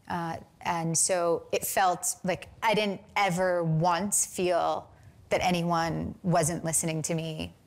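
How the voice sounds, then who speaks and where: A young American woman answering interview questions thoughtfully, with many pauses, fillers and restarts. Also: on the low side. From United States. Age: young adult. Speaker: film director, interview guest.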